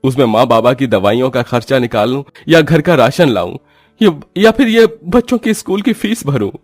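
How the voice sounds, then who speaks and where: A man's voice: medium pitch, expressive, moderate pace, darkish tone, dry recording, studio quality, pitched above the performer's own voice, close to an everyday voice. A man playing a poor, hardworking husband in a cartoon story, tender, anxious and earnest. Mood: burdened, exasperated. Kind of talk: Hindi cartoon story voice acting.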